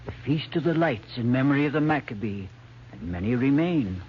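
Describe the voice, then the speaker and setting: A plain-spoken, sceptical shepherd, played by a male actor in a vintage radio nativity play. He declaims archaic English in a mid-pitched voice, over a dull recording with faint hiss. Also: lively intonation, brisk, close to an everyday voice. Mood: respectful, informative. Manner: blunt, declamatory. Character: blunt, sceptical shepherd guide of ancient Judea. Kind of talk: old-time radio drama acting, archaic English. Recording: noisy, muffled old radio recording.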